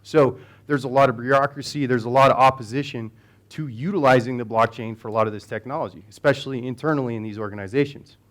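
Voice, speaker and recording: A man's voice: medium pitch, fairly even intonation, fast. A man from the United States giving a conversational conference talk in a hall, with many fillers and restarts. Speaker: conference speaker.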